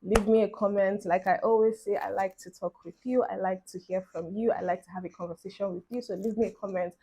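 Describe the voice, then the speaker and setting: A Nigerian woman in her twenties talks to her followers in a relaxed get-ready-with-me vlog, recorded on a phone. She rambles easily and cheers her viewers on while applying makeup. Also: mid-range voice, brisk, a little hiss or hum. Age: 20s. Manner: chatty, encouraging, talks while doing makeup. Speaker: beauty vlogger. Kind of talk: casual get-ready-with-me chat to camera. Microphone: phone camera.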